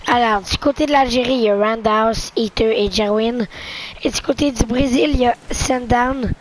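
A young woman with a sore throat, casually commentating a car-soccer video game match in an excitable, joking, chatty way. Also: a little high, normal speed, slight background noise, low-quality recording. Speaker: esports commentator.

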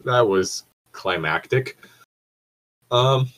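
A man's voice: medium pitch, lively intonation, very slow, a bit bright. A man co-hosting casual comedic banter in an exasperated, sweary way as he comments on fan fiction. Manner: exasperated, profane. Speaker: co-host reading fan fiction aloud.

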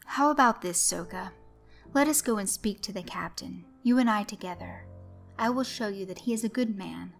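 Gentle father, read by a woman, calm, soothing, patient, faint music behind. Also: mid-range voice, normal speed, gravelly, close to an everyday voice. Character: gentle soft-spoken father, middle-aged man.

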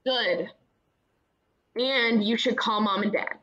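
An actress playing a supportive, teasing younger sister in a radio play. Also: female voice, steady tone, slow pace, noisy background. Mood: reassuring. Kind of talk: radio play acting, naturalistic dialogue. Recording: dull, muffled low-fidelity microphone.

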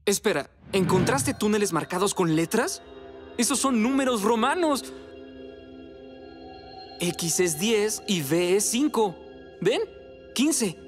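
A man's voice: high voice, expressive, slow, long pauses, music in the background. A man playing a practical, skeptical rescue team member in a cartoon dub, plain-spoken and businesslike. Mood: realizing.

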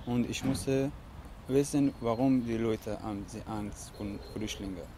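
A young man from Afghanistan with an Afghan accent, asking passers-by questions in halting German full of fillers, on a handheld mic in a town square. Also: mid-range voice, steady tone. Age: young adult. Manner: hesitant learner's German with fillers. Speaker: street interviewer. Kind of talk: vox pop interview. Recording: outdoor street noise.

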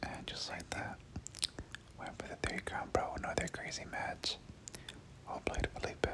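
A gamer whispering casual, slangy ASMR commentary over gameplay, often repeating words, close to the microphone. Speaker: gaming video host.

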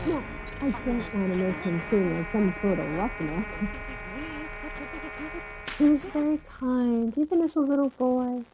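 A woman's voice: a little high, measured pace, long pauses, a crowd in the background, lightly acted, rough recording. A woman playing a chatty small-town niece reminiscing about her uncle in a folksy, rambling documentary interview. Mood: fond reminiscing. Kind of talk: acted documentary interview, conversational.

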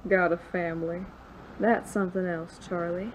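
A woman's voice: on the low side, steady tone, measured pace, a little hiss or hum, lightly acted, poor audio. A woman playing a callous, dismissive outlaw gang boss in a western audio drama. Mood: callous amusement. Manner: menacing, dismissive. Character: cold, callous male outlaw gang boss, former sheriff.

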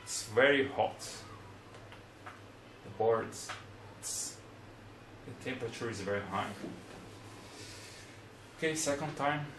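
A young Brazilian man with a Brazilian Portuguese accent, a non-native speaker, walks through a hobby demonstration at his desk, speaking slowly and pausing to find words. His voice sits at an ordinary pitch. The camera picks him up in a small, echoey room with a faint hum behind him. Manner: unhurried, plain, searching for words. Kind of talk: casual how-to demonstration.

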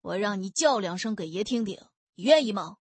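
A belligerent young gang boss acted by a woman reading an audiobook, husky and loud. He is blunt and overbearing, a burly brawler who throws his weight around. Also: mid-range voice, lively intonation, fairly quick, no room sound. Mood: mocking, defiant.

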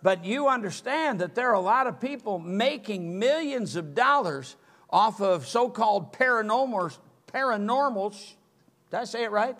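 High-voiced older preacher. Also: male voice, expressive, normal speed, fairly bright tone. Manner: emphatic preaching, gesturing at a pulpit. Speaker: pastor. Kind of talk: sermon. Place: church sanctuary with empty pews.

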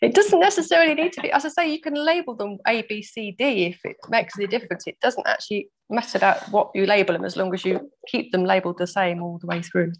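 A woman with a croaky voice teaching a weaving workshop over a video call, patiently explaining each step and laughing off her own mistakes. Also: medium pitch, fast talker, few pauses, low-quality recording. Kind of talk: step-by-step craft demonstration. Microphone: laptop mic over video call.

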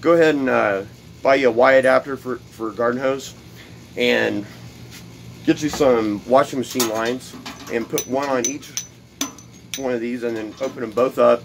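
Man, DIY host at his workbench, plain mid-pitched voice, loose and rambling, faint shop hiss behind him. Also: normal speed, gravelly, pauses often. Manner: rambling, repeats words, many fillers. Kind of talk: casual workshop how-to demonstration. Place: cluttered home workshop at a workbench.

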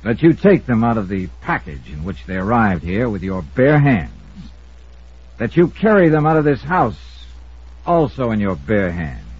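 A tough, sardonic investigator in a vintage radio mystery. His husky voice moves up and down with wry, probing emphasis, on a dull, muffled old recording. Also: on the low side, big pitch swings, normal speed. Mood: pressing. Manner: wry, pressing. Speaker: star and narrator of the show. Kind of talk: 1950s radio detective drama acting.

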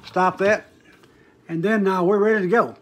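A man's voice: high-pitched, steady tone, moderate pace. A man giving a casual, folksy product demonstration as a seller, explaining in a hesitant, rambling way. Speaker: online seller demonstrating vintage audio gear.

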